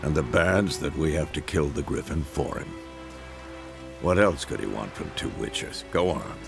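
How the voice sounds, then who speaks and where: A gravelly old monster-hunting mentor. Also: male voice, very deep voice, very animated, moderate pace, heavily acted. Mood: wry. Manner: dry, teasing, matter-of-fact. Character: grizzled older male monster-hunter, wry teasing mentor. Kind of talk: video game voice acting. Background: game music.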